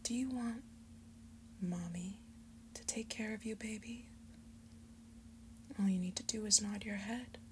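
Woman voicing a slow, whispering, seductive mature succubus. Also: medium pitch, a little hiss or hum, lightly acted. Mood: coaxing, seductive. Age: adult. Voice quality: breathy, mostly whispered. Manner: slow, coaxing, soothing endearments. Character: seductive, doting mature succubus mother figure. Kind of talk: breathy whispered roleplay audio, ASMR.

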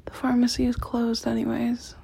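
A woman performing an intimate audio roleplay in a soft, close whisper. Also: fairly high pitch, completely flat delivery, normal speed, vocal fry, husky.

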